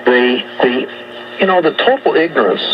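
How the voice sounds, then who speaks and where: A man's voice: mid-range voice, animated, moderate pace, highs cut off. A man in opinionated radio talk, picked up through noisy shortwave reception. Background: radio static and noise.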